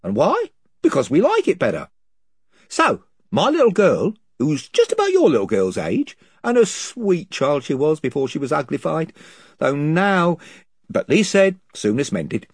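A man's voice: a little high, wildly swooping pitch, a little slow, slightly rough, dry recording, studio quality, voice pushed up, full character voice. A man reading an audiobook, voicing a long-winded, folksy male chief of invisible creatures. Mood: rambling. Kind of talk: audiobook character voice.

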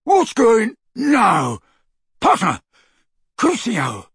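A man voicing a huge, slow-witted teenage bully with rough grammar and a menacing temper, in an audiobook. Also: wildly swooping pitch, dry recording, clean studio sound, exaggerated voice. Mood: ferocious. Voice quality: soft, low menace breaking into yells. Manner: uneducated, ungrammatical speech. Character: huge teenage male bully, slow-witted, ferocious, soft-spoken henchman.

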